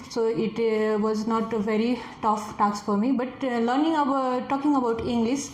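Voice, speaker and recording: A young woman with a Nepali accent, a native Nepali speaker, works through exam answers with frequent fillers and restarts. Her voice sits on the high side. The room is echoey, with faint noise in the background. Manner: hesitant, many fillers and restarts. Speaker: test candidate, forest officer.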